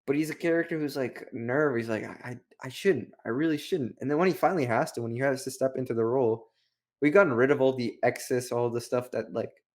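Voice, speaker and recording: A man's voice: medium pitch, fairly quick. A man co-hosting a review show, chatting casually in a rambling, slangy way peppered with "like". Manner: rambling, heavy use of "like". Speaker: book review show co-host. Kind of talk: casual, slangy chat, retelling and commenting.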